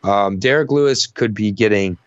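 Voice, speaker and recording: Fight-pick co-host, low male voice, dull dry lo-fi recording, rambling casual delivery. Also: normal speed, no room sound. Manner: rambling, many fillers and restarts. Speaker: co-host of a fight prediction show. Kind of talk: casual betting breakdown chat.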